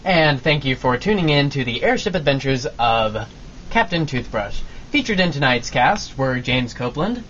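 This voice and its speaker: A man works as announcer of an amateur radio show, giving an informal sign-off in a middling pitch. The sound is dull, with faint noise behind him. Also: sing-song, moderate pace, fairly bright tone.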